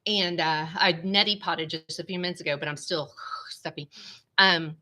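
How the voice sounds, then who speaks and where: A woman with a drawl chats in a rambling, friendly way to her live-stream viewers, calling them "y'all." Her voice is bright and forward, a little nasal from congestion, and it is picked up by a small webcam at her home computer. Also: on the low side, fairly even intonation, normal speed. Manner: chatty, rambling, says "y'all" often. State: stuffy, congested nose. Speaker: vlogger hosting a live stream.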